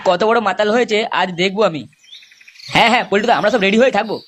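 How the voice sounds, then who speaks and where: A performer voicing a crude, excitable cartoon village pal, coarse and boastful. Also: medium pitch, rapid-fire, natural voice. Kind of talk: comic cartoon dialogue. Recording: clearly noisy cartoon soundtrack.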